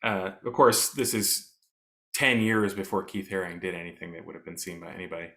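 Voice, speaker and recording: A man with a lowish voice talks through artwork in a measured, thoughtful way. He often stops on small fillers while he looks for the right word. It is relaxed co-host chat about art. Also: gravelly. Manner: thoughtful, searching for words with frequent uh fillers. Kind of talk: casual art discussion.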